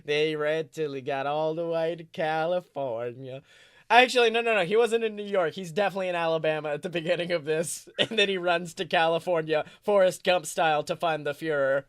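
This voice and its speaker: A man's voice: on the high side, brisk, clear, resonant tone, no room sound, clean studio sound. A man co-hosting a podcast, improvising a comic story in a rambling, self-correcting, playfully dramatic way. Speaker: podcast co-host.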